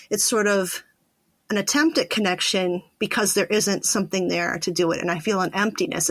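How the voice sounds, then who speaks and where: Female interviewer, medium pitch, conversational and reflective, very dry room sound. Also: fairly bright tone, no echo. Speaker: podcast host and interviewer.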